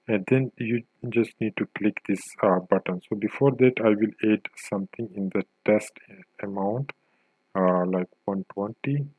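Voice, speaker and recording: Low-voiced man narrating a coding tutorial. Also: no room sound, narrow, tinny audio. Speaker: software tutorial instructor.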